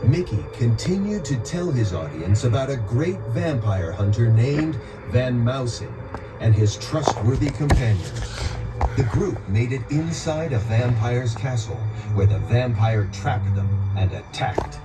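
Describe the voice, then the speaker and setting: A man narrating a scripted children's story in an expressive storytelling manner. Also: medium pitch, a little slow, rough recording. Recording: loud music behind narration. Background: music.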